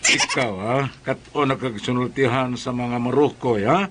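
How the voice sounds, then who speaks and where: A man's voice: mid-range voice, moderate pace, noisy background, natural voice. A man playing a mild, long-suffering husband and exasperated father, narrating and acting in a comedic radio drama.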